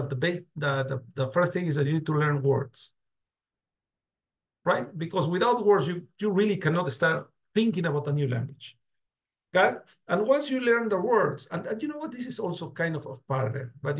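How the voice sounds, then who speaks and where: Guy teaching on a call, slightly high voice, keeps asking got it. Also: expressive, a little fast. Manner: many restarts, asks "got it?" and "do you agree?" often. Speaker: university lecturer. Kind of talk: online lecture over video call.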